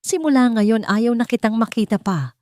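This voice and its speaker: In a storybook drama, a wronged, wealthy husband acted by a woman lays down orders. He is stern and commanding, his pitch raised in anger, the sound dry. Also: lively intonation, moderate pace, dark tone, no echo, close to an everyday voice. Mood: furious, cold. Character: angry betrayed husband, rich man dismissing his maid.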